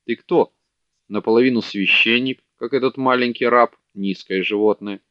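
A man's voice: medium pitch, steady tone, moderate pace, dry recording, natural voice. A man reading a haughty, greedy merchant. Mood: scornful. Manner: haughty, contemptuous. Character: sleepy greedy fat male merchant. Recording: dull dry lo-fi audiobook recording.

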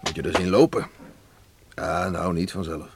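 A man acting a hearty, plain-spoken older farmer father with a halting way of talking in a radio play. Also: deep voice, little pitch movement, creaky. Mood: proud, companionable. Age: middle-aged or older. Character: hearty farmer father, troubled by regret, welcoming. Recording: noisy old radio play recording.

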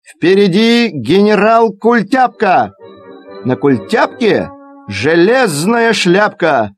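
A man reciting children's verse as a theatrical storyteller, expressive and dramatic. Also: high-pitched. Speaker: storyteller, performer. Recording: digitized cassette audio play. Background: music.

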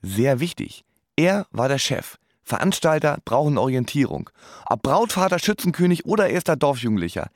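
Male radio play narrator, deadpan and sardonic, normal pitch, very dry studio sound. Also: normal speed, dry recording. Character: sardonic male musician recalling his life. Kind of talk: scripted first-person radio drama narration. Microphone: close studio microphone.

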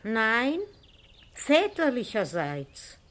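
An actress playing a patient, dry-witted housekeeper in a 1960s radio play, composed and laconic, gently admonishing. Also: female voice, a little high, sing-song, very slow, exaggerated voice. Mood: grave, knowing. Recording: vintage 1960 radio recording.

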